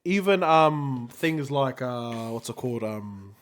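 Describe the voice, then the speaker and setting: A man's voice: mid-range voice, expressive, takes it slowly. A man with a New Zealand accent co-hosting a casual podcast, talking in a slangy, laid-back way and often repeating himself. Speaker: podcast co-host.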